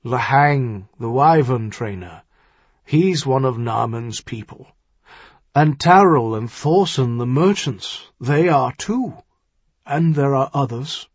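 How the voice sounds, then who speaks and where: A man's voice: mid-range voice, lively intonation, slow pace, breathy edge, no room sound. Man acting an earnest older earl, slightly dull audio. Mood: grave, urgent. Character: earnest, exasperated older earl, a worried father-in-law. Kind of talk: audiobook character dialogue.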